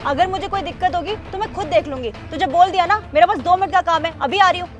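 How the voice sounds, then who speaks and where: A woman's voice: extremely high pitch, fast talker, faint music behind. An actress playing an arrogant rich young woman who berates a guard in a short drama skit. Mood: dismissive. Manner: rude and shouting, later softly apologetic.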